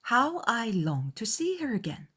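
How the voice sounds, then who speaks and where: Woman playing a haughty, gushing young society lady, lilting high. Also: big pitch swings, a little slow, a bit bright, husky, exaggerated voice. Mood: gushing, superior. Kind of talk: dramatized period novel audiobook.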